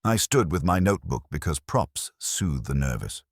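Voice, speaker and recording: A man reading a first-person detective story as a scripted audiobook, in a dry, measured storytelling manner. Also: extremely low pitch, very animated, creaky, slightly rough, no echo. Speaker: narrator and reader of a detective story.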